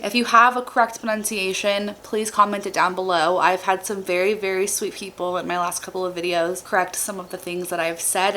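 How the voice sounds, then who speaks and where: A young woman with a sore throat, sounding slightly raspy, narrating a true crime case to camera in a chatty, earnest way. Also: fairly low pitch, fairly bright tone, slight background noise, rough recording. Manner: chatty and earnest, apologetic asides.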